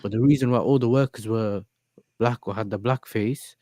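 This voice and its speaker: A man's voice: on the low side, moderate pace, warm tone, no echo, studio quality. A man who grew up in the Netherlands, telling a story in casual chat and checking in with yeah and innit. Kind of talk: casual chat on a live stream.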